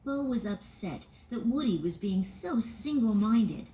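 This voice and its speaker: A woman narrating a children's read-along storybook in an expressive, sing-song way. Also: on the high side, unhurried, rough recording.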